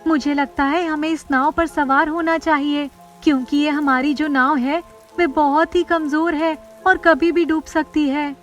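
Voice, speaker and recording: A woman's voice: sits high, level tone, a little slow, soft background music, pitched above the performer's own voice. A woman voicing an earnest, worried village schoolchild in a cartoon moral story. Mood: anxious, urgent.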